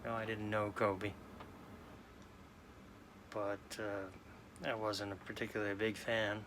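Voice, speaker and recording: A man in his late forties from New York, talking slowly and haltingly in a sad, subdued way as a sports card vlogger. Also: on the low side, level tone, noisy background. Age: 40s. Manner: halting, subdued, many restarts. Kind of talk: casual personal talk to camera.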